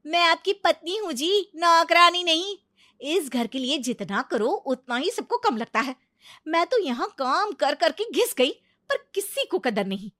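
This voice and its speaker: Woman playing spoiled young wife: high, loud, self-important, dramatic complainer for a family moral story. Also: expressive, a little slow, dry recording, clean studio sound, heavily acted. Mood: indignant. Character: arrogant, whiny, self-important young married woman, lazy daughter-in-law.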